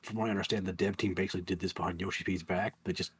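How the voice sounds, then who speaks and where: A man's voice: low-pitched, fairly even intonation, racing, slightly rough. A man co-hosting a casual game chat, with a nasal voice as he recovers from losing it, chatty and teasing. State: recovering from lost voice. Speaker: podcast co-host.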